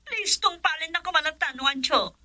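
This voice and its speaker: A woman plays a young wife in a radio melodrama, her voice very high and bright. She pleads tearfully and fearfully, recorded close and dry. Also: expressive, moderate pace, no echo, exaggerated voice. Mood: anxious pleading. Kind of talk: melodramatic radio drama acting.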